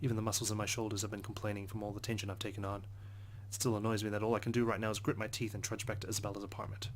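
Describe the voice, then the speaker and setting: Male let's play narrator: brisk, level delivery, earnest reading, slightly low pitch, faint noise. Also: flat delivery. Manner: earnest, occasional stumbles while reading. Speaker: let's play host reading a visual novel aloud. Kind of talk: narration read aloud in first person.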